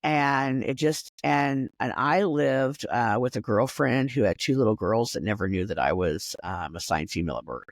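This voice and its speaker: Man with a fairly high voice. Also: animated, normal speed, few pauses, no room sound, clean studio sound. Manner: chatty, frequent restarts and filler words. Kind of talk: casual conversation.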